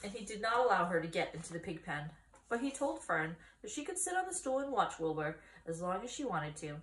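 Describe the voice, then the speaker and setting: Lady reading a kids chapter book out loud, expressive, echoey room. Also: on the low side, fairly quick, slight background noise. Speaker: story reader. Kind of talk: children's storybook read aloud. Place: small indoor room against a bare wall.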